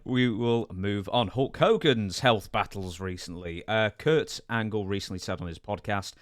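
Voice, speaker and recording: A man hosting a podcast in a measured, polite way, putting questions and reading out news items. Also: on the low side, no echo, studio quality. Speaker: podcast host. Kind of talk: interviewing, reading out wrestling news.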